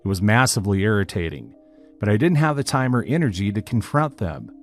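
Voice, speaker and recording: A man narrating a written online story in a steady, even reading style. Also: fairly low pitch, brisk. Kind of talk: scripted narration of a reddit post. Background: faint background music.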